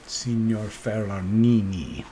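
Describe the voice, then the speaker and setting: A man narrating a solo tabletop role-playing game, improvising haltingly and thinking aloud as game master. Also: on the low side, animated, dark tone, slight background noise.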